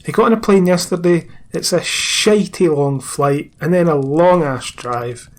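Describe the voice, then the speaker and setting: A man plays an irritable bloke in a radio drama. His voice is on the high side, and he snaps back bluntly with crude language. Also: unhurried, lightly acted. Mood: irritated. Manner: blunt, snappy. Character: irritable, foul-mouthed, blunt young man.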